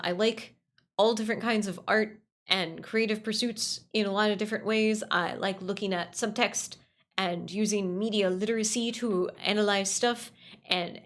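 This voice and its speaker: A woman's voice: mid-range voice, a bit bright. A woman chatting casually on a livestream, answering viewers in a gushing way and repeating phrases for emphasis. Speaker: livestream host.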